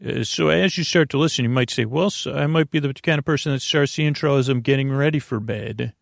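A man with a gravelly voice hosting a bedtime podcast, talking in a halting, meandering monologue that keeps wandering into tangents. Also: mid-range voice, no echo, clean studio sound. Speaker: podcast host.

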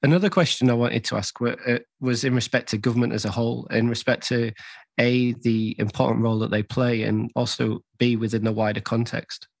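Flat, gravelly-voiced podcast host. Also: male voice, medium pitch, dry recording. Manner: measured, repeats phrases, many restarts. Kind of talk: conversational interview.

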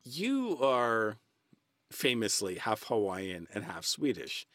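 A man hosting a casual podcast, with an animated, lively pitch. He teases and jokes with his guest. His voice sounds dry and close to the mic. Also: slow pace, no room sound. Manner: joking, teasing. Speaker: podcast host.

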